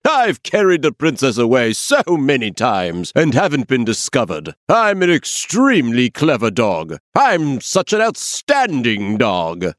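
A man's voice: medium pitch, wildly swooping pitch, unhurried, few pauses, no room sound, clean studio sound. A man voicing a clever, boastful magical dog in a storybook tale. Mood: smug, boastful. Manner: boastful, self-satisfied. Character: smug clever magical dog with huge eyes.

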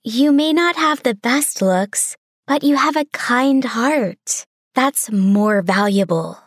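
A woman playing a kind, earnest young daughter in a children's fairy tale, speaking sweetly and gently. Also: on the high side, lively intonation, slow pace, no echo, studio quality. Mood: reassuring.

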